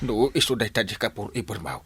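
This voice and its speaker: A man with an Ilocano accent playing an excitable, stammering, blustering village captain in a radio comedy. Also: fairly low pitch, fairly quick, slightly rough, dry recording, full character voice. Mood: flustered. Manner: stammering, repeats short exclamations.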